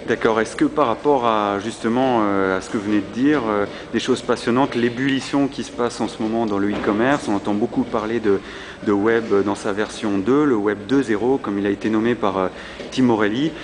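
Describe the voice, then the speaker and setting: A man's voice: on the low side, a little fast. Hesitant man interviewing on a noisy floor. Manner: hesitant, many fillers and repeated words. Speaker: interviewer, podcaster. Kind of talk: podcast interview. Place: busy convention hall.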